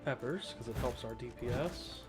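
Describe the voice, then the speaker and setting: A man's voice: medium pitch, moderate pace. A man giving casual gameplay commentary, half talking to himself as he plays. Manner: muttering to himself while playing. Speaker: gameplay streamer. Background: game music and sounds.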